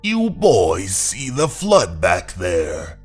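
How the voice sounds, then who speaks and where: A man voicing a grave, mysterious pipe-smoking mountain dweller in a horror manga dub, speaking in solemn, deliberate tones. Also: huge pitch swings, heavily acted. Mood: grave, ominous. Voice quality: low, gravelly. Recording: music under the voice.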